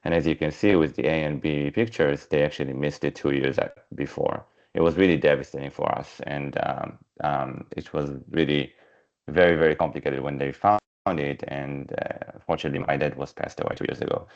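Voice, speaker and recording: A man with an Israeli accent, a startup founder pitching his company over a video call, halting with many fillers and restarts. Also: fairly even intonation. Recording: dull lo-fi video call audio.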